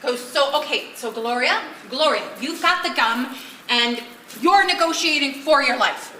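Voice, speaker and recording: A woman's voice: high-pitched, lively intonation, measured pace, sharp tone, heavily acted. A woman on stage playing a keen, placating play director who steers a rehearsal in a comedy. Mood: instructing. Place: live stage at a one-act play festival. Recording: roomy stage sound with noise.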